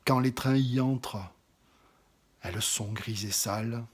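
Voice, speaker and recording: A man giving a slow, dramatic poetry recitation, often dropping into an intimate whisper and swelling louder on some phrases. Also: mid-range voice, husky.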